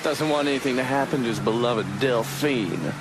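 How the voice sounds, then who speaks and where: A man acting as a cool, teasing covert operative in a crime game. His mid-pitched voice is casual and matter-of-fact, with dry wit. Clear vehicle and outdoor noise runs behind him. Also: normal speed, lightly acted. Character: wry, cool-headed war buddy and covert operations planner. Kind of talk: conversational video game cutscene acting.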